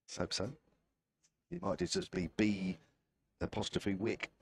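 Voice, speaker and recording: A man's voice: on the low side, very animated, measured pace, gravelly, slight background noise. A man with a southern English accent doing live cricket commentary, wry and chatty. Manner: chatty, wry, self-deprecating. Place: outdoor commentary position at a cricket ground.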